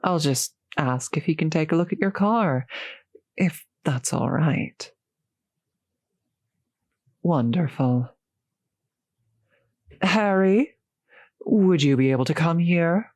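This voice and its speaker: A centuries-old vampire host, acted by a woman in an intimate roleplay audio drama. The speech is unhurried and genteel, solicitous toward a guest, with polite old-fashioned phrasing. The sound is close and dry. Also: low voice, animated, darkish tone, no room sound, heavily acted. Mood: courteous, helpful.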